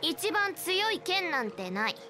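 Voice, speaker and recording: A woman's voice: very high-pitched, big pitch swings, unhurried, over music, heavily acted. An actress playing a proud, competitive young swordswoman in a game, speaking bluntly and boastfully.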